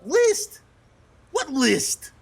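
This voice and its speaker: A man's voice: extremely high pitch, huge pitch swings, extremely slow, creaky, faint music behind, exaggerated voice. A man acting as a belligerent, easily rattled robber in an audio play, incredulous and gullible. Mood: threatening.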